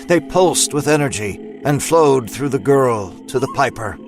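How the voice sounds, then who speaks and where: A man's voice: medium pitch, expressive, measured pace. A man giving scripted narration for a horror story. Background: music.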